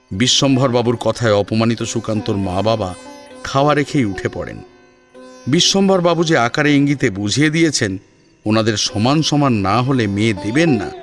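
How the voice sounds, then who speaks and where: A man narrating a scripted audio drama in a dramatic storytelling style. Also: mid-range voice. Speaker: narrator and voice actor.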